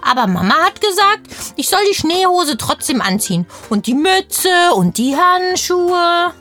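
Very high-pitched chatty little girl. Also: sing-song, a little slow, sharp tone, faint music behind, heavily acted. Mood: chatty, cheerful. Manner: chatty, childlike slangy German. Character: cheeky, curious kindergarten girl named Lotte. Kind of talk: children's audio play interview.

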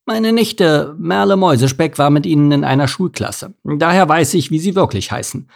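A man voicing a stern, officious mouse police sergeant in a children's story, gruff and pedantic. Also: on the high side, animated, normal speed, pitched above the performer's own voice.

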